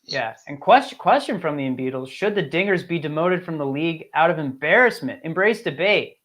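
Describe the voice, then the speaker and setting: A man's voice: a little high, lively intonation, a little fast, fairly bright tone. A man co-hosting a fantasy sports show with casual, wry, joking banter.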